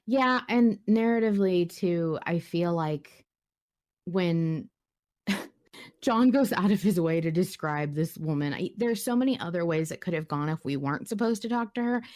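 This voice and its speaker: A woman's voice: lowish voice, lively intonation, moderate pace, darkish tone. A woman hosting a casual podcast discussion, thinking aloud in a conversational way and sometimes reading out comments. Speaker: podcast host.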